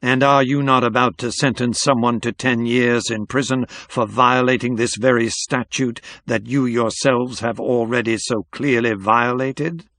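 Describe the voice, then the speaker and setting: A man voicing an unflappable FBI agent in an audiobook, with a Southern US accent and smooth, formal, measured speech. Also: fairly low pitch, steady tone, no echo, studio quality, lightly acted. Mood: cool, cutting. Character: cool, insolent male Southern FBI special agent.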